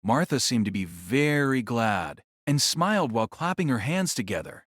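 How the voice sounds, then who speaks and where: A man's voice: mid-range voice, big pitch swings, normal speed, a bit bright, husky, dry recording, studio quality. A man narrating a scripted audio drama as a storyteller.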